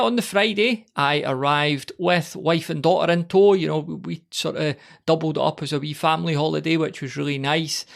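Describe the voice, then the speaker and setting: A man hosts a podcast in an easy, conversational style. His voice sits a little high for a man and has noticeable vocal fry at the edges. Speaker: podcast host and interviewer. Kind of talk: casual chat.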